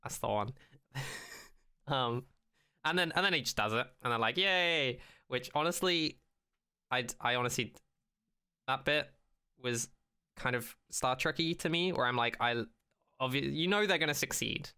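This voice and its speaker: A man's voice: mid-range voice, unhurried, long pauses, bright, forceful tone, clean tone. A man co-hosting a podcast in a casual, informal and rambling way, with a jokey streak. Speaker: podcast co-host. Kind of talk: casual banter.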